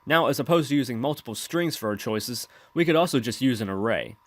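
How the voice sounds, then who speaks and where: A man hosting a software tutorial, explaining steps in scripted voice-over narration. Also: mid-range voice, expressive, fairly quick. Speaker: tutorial host.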